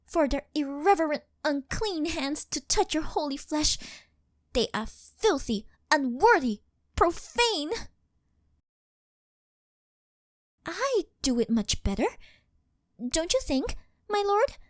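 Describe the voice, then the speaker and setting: A woman's voice: sits high, sing-song, measured pace, no echo, studio quality. A woman voicing a possessive, worshipful living doll maid in an intimate ASMR roleplay, speaking in a formal, fawning, melodramatic way. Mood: jealous, disgusted, then eager, possessive. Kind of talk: scripted ASMR roleplay, intimate monologue to the listener.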